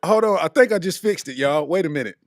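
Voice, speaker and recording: High-voiced laid-back man. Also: expressive, fast talker, no echo, clean studio sound. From Kentucky. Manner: laid-back, repeats "you know what I'm saying". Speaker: podcast host and comedian. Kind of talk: casual comedic podcast chat.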